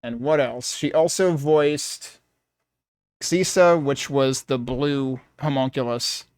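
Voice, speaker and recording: Guy doing casual game commentary, smooth clear voice, dry close mic, kinda rambling. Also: a little high, fairly bright tone, no room sound. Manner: rambling, thinking aloud. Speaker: game streamer.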